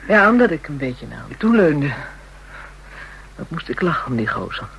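A jaded, hard-living streetwalker in a radio drama. Her voice is on the low side for a woman, coarse and scornful in manner, with some noise in the recording. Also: sing-song, moderate pace, creaky, a bit bright, exaggerated voice, low-quality recording. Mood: scornful, amused. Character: brash, jaded, street-hardened woman, a prostitute. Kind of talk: Dutch radio play acting.

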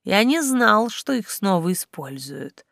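A woman voicing a quiet, eerie old male ghost priest with a hissing, whispery voice in an audiobook. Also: mid-range voice, big pitch swings, a little slow, vocal fry, dry recording, studio quality, exaggerated voice. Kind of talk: audiobook character acting.

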